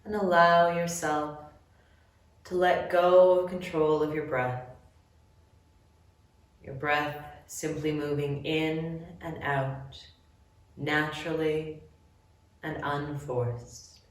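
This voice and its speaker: A woman leading a guided relaxation meditation, giving gentle, measured instructions with long pauses between phrases. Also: low-pitched, flat delivery. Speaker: yoga nidra guide. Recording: very echoey room, distant mic.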